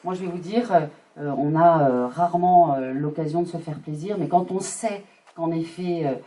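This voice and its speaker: A woman giving a formal, semi-improvised public speech as a local official, halting and often searching for words. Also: sits low, dark tone. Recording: distant microphone.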